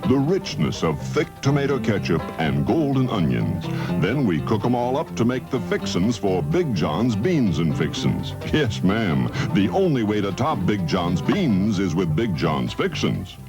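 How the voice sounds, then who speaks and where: A man's voice: lowish voice, sing-song, measured pace, hardly any pauses. A man delivering a folksy food commercial, relishing each phrase. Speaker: commercial pitchman. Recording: old TV ad over guitar music. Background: loud plucked guitar music.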